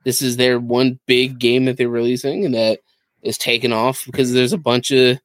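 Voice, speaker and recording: A man's voice: mid-range voice, fairly even intonation, normal speed, no echo. A man who hosts a podcast, chatting casually about video games and often repeating his phrases. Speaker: podcast host.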